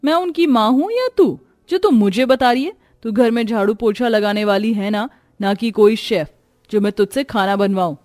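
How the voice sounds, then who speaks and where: A woman's voice: on the high side, moderate pace, a little mellow, faint music behind, natural voice. Haughty rich mistress played by a woman, snappish. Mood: scornful, angry. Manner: snappish, scornful.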